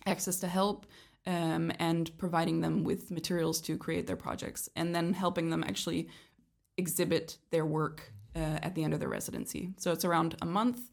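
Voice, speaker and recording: A young woman raised in Canada, chatting as a podcast guest about her work, often pausing with ums and restarting sentences. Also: fairly low pitch, level tone, vocal fry. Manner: hesitant, many ums and restarts. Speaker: guest, project leader. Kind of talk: casual interview chat.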